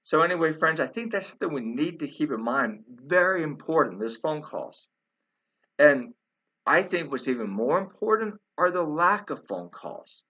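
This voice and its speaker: A man's voice: a little high, big pitch swings, normal speed, pushed and bright, muffled recording. A man giving informal, argumentative commentary as a video host, emphatic and repetitive, addressing his listeners as friends.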